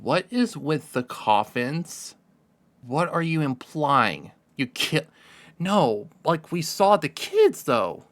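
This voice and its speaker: A man hosting a reaction video with casual, slangy commentary, moving between animated exclamations and muttering. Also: on the high side, slow pace, slight background noise. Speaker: YouTube reaction host.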